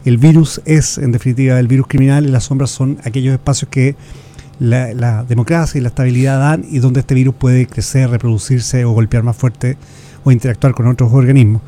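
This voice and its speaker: Chilean male guest expert, quick, dark-toned, earnest explainer. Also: mid-range voice, fairly even intonation, a little hiss or hum. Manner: earnest, lecturing, restarts words, uses rhetorical questions. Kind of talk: explanatory interview talk.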